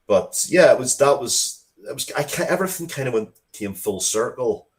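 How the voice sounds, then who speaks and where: Low-voiced man in thoughtful interview chat. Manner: halting, thoughtful, repeats words as he goes. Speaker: interview guest, writer of Dracula comics and media. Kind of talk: casual interview conversation.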